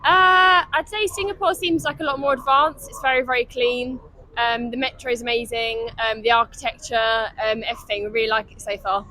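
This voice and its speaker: Bright woman tourist. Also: on the high side, steady tone, brisk, low-quality recording. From London, England. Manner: chatty, lots of "um"s. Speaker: tourist interviewee.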